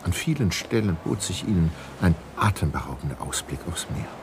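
An actor narrating a detective mystery as a calm storyteller in a scripted German audio drama. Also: male voice, deep voice, brisk, noisy background. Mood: calm suspense. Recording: music clearly behind the voice.

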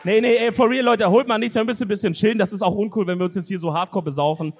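A man hosting a live podcast show on stage, loud and joking. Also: high-pitched, fairly even intonation, background noise. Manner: loud, joking, drawn-out exclamations. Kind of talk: live comedy podcast banter. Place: large sold-out concert hall. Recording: live hall recording with crowd.

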